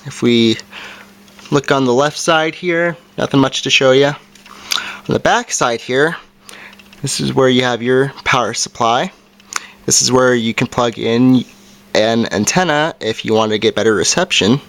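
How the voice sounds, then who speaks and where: A man's voice: mid-range voice, a little slow, a bit bright. A man giving an informal product review, explaining things plainly step by step as an amateur video reviewer. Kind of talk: casual product review and demonstration.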